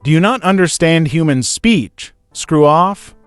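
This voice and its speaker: A man plays a sleazy, flustered husband who shouts and stammers excuses. His voice runs a little high and lively, dry and close, with soft music under it. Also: a little slow, smooth, no room sound. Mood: angry. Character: sleazy panicking cheating husband.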